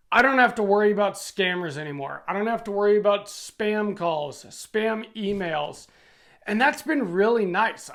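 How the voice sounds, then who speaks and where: American guy, kinda high voice, casual plain-spoken youtube review talk. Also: fairly bright tone. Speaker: video host and product reviewer. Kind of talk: casual product review talking to camera.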